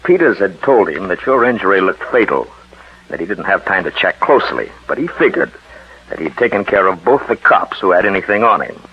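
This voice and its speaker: An actor playing a shrewd, steady detective in a 1940s radio drama, assured and insistent as he explains. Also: low voice, brisk, exaggerated voice. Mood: assured, explanatory. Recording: muffled, husky-sounding 1940s radio recording.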